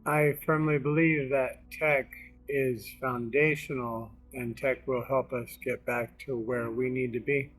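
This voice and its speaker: A man's voice: mid-range voice, little pitch movement, measured pace, fairly bright tone, husky, soft background music, poor audio. Confident man in his forties. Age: 40s. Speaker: interviewee. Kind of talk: documentary interview.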